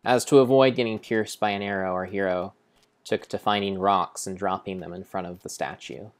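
A young man narrating gameplay as a solemn, deliberate, theatrical story. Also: deep voice, fairly even intonation. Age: young adult. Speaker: game streamer. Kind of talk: dramatic storybook-style narration over gameplay.

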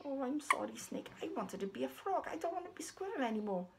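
A woman voicing a wistful, timid young female squirrel puppet with a meek, apologetic, breathy delivery. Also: high voice, big pitch swings, fast talker, a little mellow, pitched above the performer's own voice, heavily acted. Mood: pleading, sheepish.

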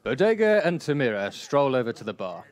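A wry storybook narrator read by a man for a comic space radio play. His voice sits at an ordinary male pitch as he reads the scripted tale. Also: very animated, brisk, lightly acted. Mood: wry, narrating.

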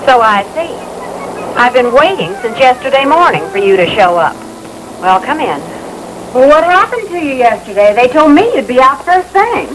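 Indignant high-pitched American housewife. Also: female voice, very animated, moderate pace. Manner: haughty, scolding, emphatic. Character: indignant, sharp-tongued, fussy housewife customer. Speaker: actor in a training film. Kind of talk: 1960s training film acting. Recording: noisy old film soundtrack.

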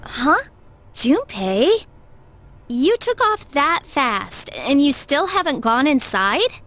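A woman voicing a perky, teasing high school girl in a video game, casual and expressive. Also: slow, full character voice. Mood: exasperated. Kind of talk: scripted game voice acting.

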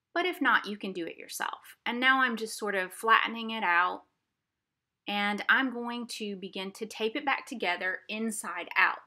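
A woman's voice: mid-range voice, bright, forceful tone. A woman art instructor giving a simple, explanatory craft demonstration for children. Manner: simple, explanatory, addressing young viewers. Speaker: art instructor for a museum family program. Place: indoors at a craft table.

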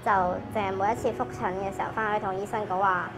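A woman, a patient speaking softly and reflectively about her experience. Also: mid-range voice, steady tone, quick pace, noisy background. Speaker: patient in a support program. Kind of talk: personal testimony.

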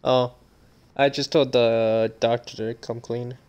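A man's voice: mid-range voice, flat delivery, slow, a little mellow, clean tone, dull audio. A man chatting casually with his teammates during an online game, over voice chat. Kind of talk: casual chat while gaming.

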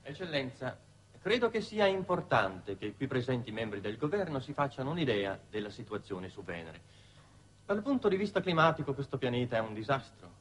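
An actor playing a deferential undersecretary making a careful, formal, hesitant report in a 1960s Italian television drama. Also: male voice, medium pitch, very animated, a little fast, a bit bright. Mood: deferential, cautious. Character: deferential bureaucratic male undersecretary reporting on Venus. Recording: noisy dated 1960s television soundtrack.

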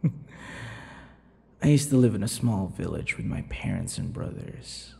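A man voicing a possessive, centuries-old vampire in an intimate ASMR boyfriend roleplay, teasing and breathy, often dropping toward whispers. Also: lowish voice, animated, slow pace, warm tone, slight background noise, full character voice. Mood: reminiscing. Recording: long reverberant echo.

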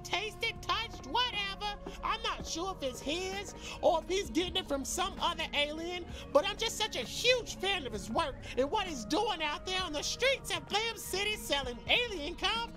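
A man playing a sleazy, chatty alien street peddler in a comedy video game, rambling through brash, shameless sales patter. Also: extremely high pitch. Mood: eager salesman. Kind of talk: improvised-sounding comedic video game dialogue.

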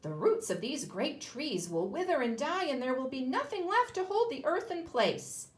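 A shrill troop of storybook monkeys, voiced by a woman reading aloud, high and loud and urgent. The voice swings widely and the room echoes back. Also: sing-song, normal speed. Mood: warning.

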